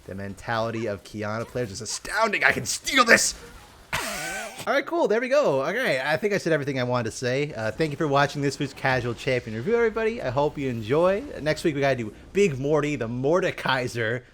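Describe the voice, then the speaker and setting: A man's voice: medium pitch, very animated, fairly quick, a bit bright, a little hiss or hum. A man hosting a game review with casual, rambling gameplay commentary and sarcastic asides. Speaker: host of a game review series.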